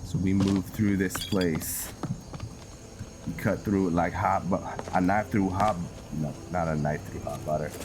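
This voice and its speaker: A man giving casual game commentary, musing and halting as he thinks aloud to himself. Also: low-pitched, steady tone, background noise. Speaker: gameplay host narrating a roleplay playthrough.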